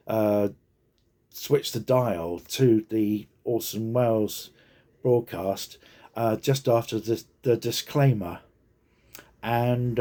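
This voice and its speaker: A man talks informally and without a script in a relaxed, mellow voice. His delivery is very slow and hesitant, broken up by frequent ums and pauses, at a medium pitch. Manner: halting, hesitant, heavily punctuated with ums and pauses. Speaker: speaker giving personal reflections. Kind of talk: informal unscripted talk.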